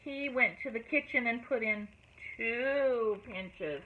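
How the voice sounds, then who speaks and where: A teacher reading a picture book aloud to children, expressive and asking them questions along the way. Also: female voice, on the high side, slow. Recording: tinny phone-like audio, faint noise.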